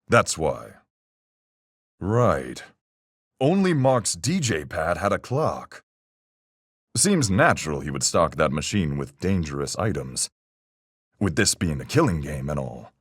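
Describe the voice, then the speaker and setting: A gruff, hearty sea captain from a mystery video game, played by a man, speaks in a very low register with wide swings in pitch. He is level-headed and folksy, peppering his measured deductions with seafaring slang. The voice is clean and smooth. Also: no echo, studio quality, heavily acted. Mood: grave, matter-of-fact. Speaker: voice actor in a fan-made mystery game. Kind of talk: video game character voice lines.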